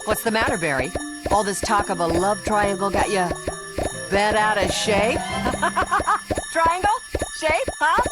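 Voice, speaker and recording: A woman voicing a theatrical, self-satisfied, teasing bee who hosts a talk show in an animated game. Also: mid-range voice, slow pace, long pauses, loud music behind the voice, poor audio. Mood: teasing, probing, then self-delighted. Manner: showy, teasing interviewer patter.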